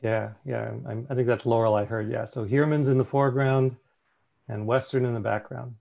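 Guy with a gravelly voice running a zoom slideshow, relaxed, lots of um and you know. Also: mid-range voice, a little fast. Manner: relaxed, thinking aloud with fillers and restarts. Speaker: meeting presenter. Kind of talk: casual slideshow presentation on a video call. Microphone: video call.

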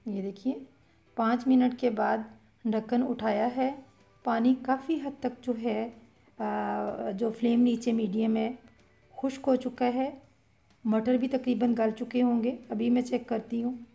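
Gravelly-voiced woman explaining a recipe. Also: on the high side, takes it slowly, faint music behind. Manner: calm step-by-step explaining with fillers. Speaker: cooking channel host. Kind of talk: voice-over cooking instruction.

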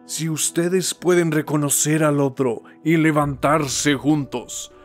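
A man playing an earnest, solemn older hero and teacher in an anime dub, speaking in measured, emphatic phrases. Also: fairly high pitch, steady tone, soft background music. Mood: earnest, stirring. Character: earnest heroic older male mentor and teacher. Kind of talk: voice acting demo of anime dub monologue.

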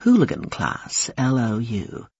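Audiobook role: knowing artificial woman, male reader, husky, drawn-out musing tone, dry, slightly muffled sound. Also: mid-range voice, huge pitch swings, darkish tone, no room sound, full character voice. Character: purring, musing virtual woman, knowing and unhurried. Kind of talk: audiobook character dialogue.

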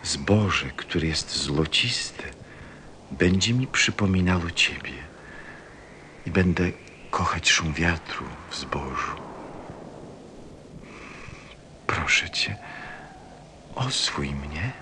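A man playing a gentle, wistful fox who craves friendship, speaking earnestly and tenderly in an audio play. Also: low voice, lively intonation, a little hiss or hum, heavily acted. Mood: pleading, wistful. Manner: slow, earnest, tender delivery. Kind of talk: Polish-language audio play acting.

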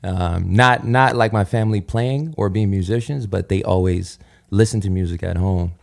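A man's voice: deep voice, moderate pace, gravelly. A young man from Nigeria, guest singer on a studio podcast, talking in a thoughtful, easygoing way. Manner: thoughtful, easygoing, laughs often. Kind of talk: relaxed conversational interview.

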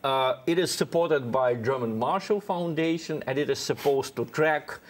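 A man's voice: medium pitch, normal speed. A man speaking as a guest commentator on a political panel in a TV studio.

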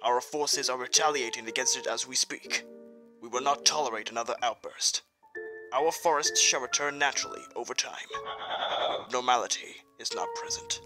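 A man's voice: medium pitch, lightly acted, rough recording. Man acting stern ruling father over music. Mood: solemn, stern. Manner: formal, measured, solemn. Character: stern authoritarian father, ruler of a dark realm. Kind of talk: audio drama interview.

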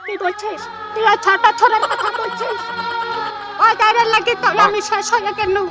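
A woman's voice: very high-pitched, fairly even intonation, normal speed. A woman playing an aggrieved, grumbling old grandmother with a rural Bengali accent, scolding and complaining in an amateur village comedy skit. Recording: loud music over the dialogue.

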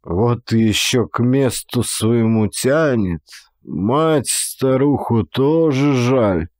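Man acting slow, drunken, anguished Cossack vagabond. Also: mid-range voice, animated, a little mellow, no room sound, studio quality. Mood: anguished self-pity. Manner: slow, drawn-out muttering. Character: drunken, anguished young Cossack vagabond, self-pitying strongman.

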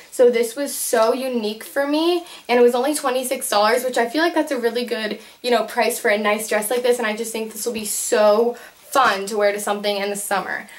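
A young woman in her teens or early twenties talking to camera in a casual, chatty vlog style, with lots of informal filler words like "like" and "you know". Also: on the high side. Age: teens to young adult. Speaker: vlogger. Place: small bedroom, indoors.

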